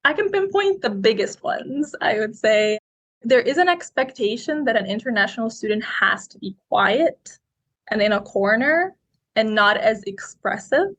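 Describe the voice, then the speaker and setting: A woman in her twenties, raised in Egypt, answering interview questions in a talkative, outgoing, expressive way. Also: fairly high pitch, normal speed. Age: 20s. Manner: expressive, quotes people in lively voices. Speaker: guest.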